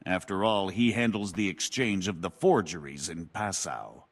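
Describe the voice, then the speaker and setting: An actor playing a scheming imprisoned knight who talks slyly and self-pityingly in a video game cutscene. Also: male voice, deep voice, normal speed, husky. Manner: sly, wheedling, self-pitying. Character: scheming imprisoned robber knight, older man.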